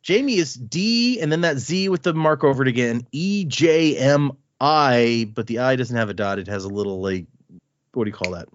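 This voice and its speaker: A man hosting a podcast, reading a listener's email aloud and adding his own quick asides between lines. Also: mid-range voice, dry recording. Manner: reads with lively asides and comments between lines. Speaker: podcast host. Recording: dry, slightly dull lo-fi sound.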